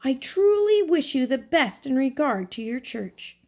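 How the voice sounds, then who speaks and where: Kindly old lady of ninety-two, feeble and high, chirping slowly, as a woman reads her. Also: very animated, a little mellow, exaggerated voice. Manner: chirpy, slow and gushing.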